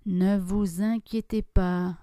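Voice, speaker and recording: A woman guides a deep relaxation session in a mellow, soothing tone. She speaks extremely slowly and softly, leaving long silences between instructions. The sound is dry and close, with no room reflections. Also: no echo. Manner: calm, soft instructions with long pauses. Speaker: yoga nidra guide.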